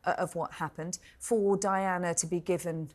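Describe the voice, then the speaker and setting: A British woman in her forties with a notably low voice. She speaks as a studio pundit, measured and articulate, leaning on key words as she reviews. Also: fairly even intonation. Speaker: royal editor, studio pundit. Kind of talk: live broadcast review and commentary. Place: television studio.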